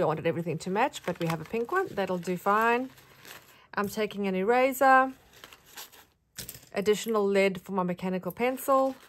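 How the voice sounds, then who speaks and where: A woman's voice: mid-range voice, slight background noise. A woman with a South African accent, chatting casually as she shows her craft supplies in a vlog. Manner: chatty, friendly, rambling with fillers. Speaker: craft vlogger. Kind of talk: casual show-and-tell.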